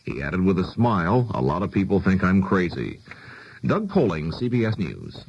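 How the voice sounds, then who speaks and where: A man's voice: deep voice, wildly swooping pitch, moderate pace, creaky, darkish tone, slight background noise. An American man working as a news anchor, reading a scripted radio newscast. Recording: dull 1970s AM radio broadcast.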